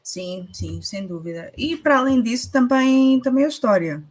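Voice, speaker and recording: A woman's voice: on the high side, expressive, normal speed. A woman with a European Portuguese accent, co-hosting a radio show, speaking carefully with hesitant fillers. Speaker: radio co-host. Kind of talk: casual radio chat.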